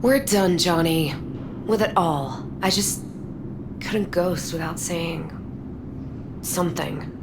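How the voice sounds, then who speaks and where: A woman's voice: fairly low pitch, slow, long pauses, background noise. An actress playing a jaded, tough woman in a video game, with a dry, intimate, world-weary manner. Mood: weary, resigned.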